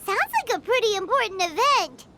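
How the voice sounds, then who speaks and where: A woman voicing a tiny, curious, childlike fairy companion in a video game, squeaky and given to exclaiming. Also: expressive, a little fast, noisy background. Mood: excited. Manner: exclaiming, refers to herself by name. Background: game music.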